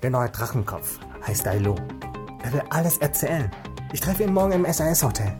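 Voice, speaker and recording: A man's voice: mid-range voice, big pitch swings, moderate pace, natural voice. An actor playing a guarded, subdued young male police officer in a naturalistic radio crime drama. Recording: faint music behind the voice. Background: music.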